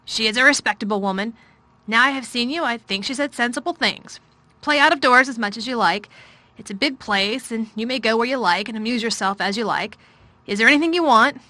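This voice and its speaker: A woman voicing a troubled, fretful middle-aged gentleman in a storybook reading; loud, weary and distracted. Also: on the high side, fairly quick, dry recording.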